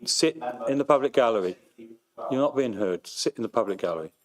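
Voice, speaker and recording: A man's voice: medium pitch, animated, a little fast. A man chairing a council meeting, curt and impatient with a questioner.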